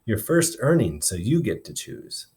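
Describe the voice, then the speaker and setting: A man's voice: lowish voice, big pitch swings, unhurried, a little mellow. A man reading a storybook and voicing a firm, fair-minded boy who leads a group of street children. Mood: generous. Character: street boy leader, bossy but fair and generous.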